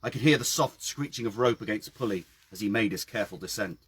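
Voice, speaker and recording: A man with a husky voice reading his own story aloud outdoors, in a dramatic storytelling style. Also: lowish voice, fast. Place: outdoors by a well.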